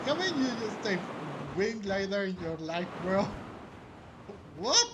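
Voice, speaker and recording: A man giving casual let's play commentary, halting and often repeating himself. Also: high-pitched, big pitch swings, heavy background noise. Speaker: gaming video host.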